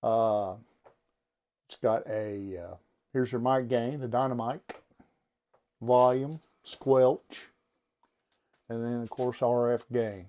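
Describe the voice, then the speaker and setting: A man giving a casual product demonstration in a folksy, halting, unscripted way, as someone who works on radio gear. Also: mid-range voice, mellow. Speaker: radio seller and technician demonstrating equipment.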